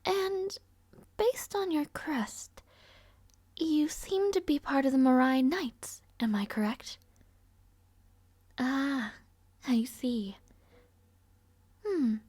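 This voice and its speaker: A woman's voice: high voice, drawn-out words, pauses often, a little mellow, dry recording. A woman playing a rude, arrogant but somewhat shy young princess in an audio roleplay, speaking in a formal, languid, world-weary way. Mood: curious, musing. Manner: haughty, languid, sighing, formal wording. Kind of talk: scripted audio roleplay acting, addressing the listener. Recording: close, dry, no ambience.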